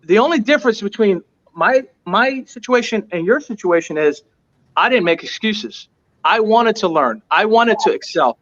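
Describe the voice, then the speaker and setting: Guest-interview read: high-pitched man, earnest and emphatic, hammering points through repetition, heard over muffled lo-fi audio. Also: expressive, moderate pace, a bit bright. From United States. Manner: emphatic, repeats points for stress. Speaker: restaurant owner, interview guest. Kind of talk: earnest motivational storytelling.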